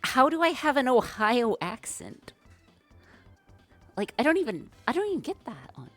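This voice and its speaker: A woman's voice: a little high, huge pitch swings, normal speed, a bit bright, faint music behind. A woman streaming live, thinking aloud as she writes code and chats with viewers in a slangy, casual way. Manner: thinks aloud while coding, slangy and chatty. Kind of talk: live-stream coding chat.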